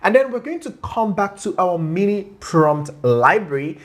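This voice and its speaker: A tutorial host talking viewers through steps on screen in a chatty, playful way, often checking in with a quick okay or right. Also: medium pitch, very animated. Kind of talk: screen-share tutorial narration.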